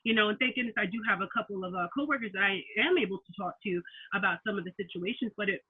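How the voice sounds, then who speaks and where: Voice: medium pitch, racing. An athletic trainer joining a town hall discussion, eager and conversational. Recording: tinny phone line, husky.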